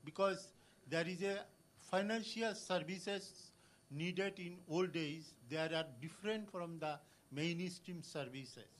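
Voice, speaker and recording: Halting Bangladeshi man from the floor. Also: high voice. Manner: halting, many fillers and restarts. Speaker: audience member at a conference. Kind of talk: conference floor comment. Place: conference hall.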